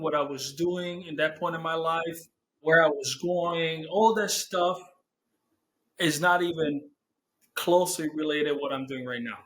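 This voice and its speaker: Serbian-accented man, slightly higher voice. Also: low-quality recording. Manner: relaxed, searching for words in non-native English. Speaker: guest, business owner. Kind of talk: interview answers.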